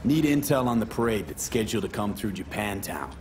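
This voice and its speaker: Actor as low-voiced, cocky streetwise mercenary guy. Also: animated, brisk, darkish tone, a little hiss or hum, lightly acted. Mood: businesslike. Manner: clipped, blunt, sardonic. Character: cocky streetwise young male mercenary, blunt and wry. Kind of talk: dramatic game dialogue.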